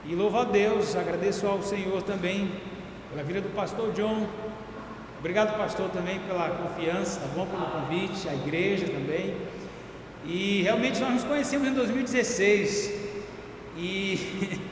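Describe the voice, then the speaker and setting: A Brazilian man, a guest preacher, greeting the church and telling a personal story in a lively, storytelling way. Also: sits high, normal speed. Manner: warm storytelling, gets loud and excited at punchlines. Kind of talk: sermon opening with personal story. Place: large church hall. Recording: echoey church hall with long reverb, noisy.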